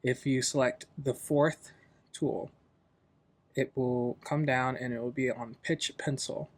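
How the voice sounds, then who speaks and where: A man hosting a software tutorial in a casual, loosely explanatory, informal way. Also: medium pitch, fairly even intonation, slow. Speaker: tutorial host.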